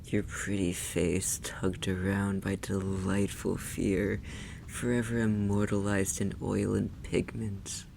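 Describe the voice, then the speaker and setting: An ASMR roleplay performer voicing a haughty, possessive demon who condescends to and teases a human captive, often in a breathy whisper. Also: low-pitched, a little mellow, a little hiss or hum, full character voice. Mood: gloating, savoring. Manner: condescending, teasing, imperious, theatrically formal.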